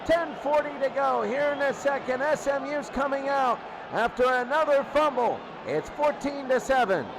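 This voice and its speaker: A man calling American football play-by-play as announcer, excitable and repeating phrases for emphasis. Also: very high voice, normal speed, vocal fry. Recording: loud crowd roar and heavy noise. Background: roaring stadium crowd.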